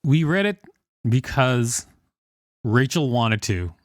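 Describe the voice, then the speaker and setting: A man's voice: medium pitch, expressive, takes it slowly, no echo, clean studio sound. A man co-hosting a podcast, reading an encyclopedia article aloud with informal, joking banter. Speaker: podcast co-host.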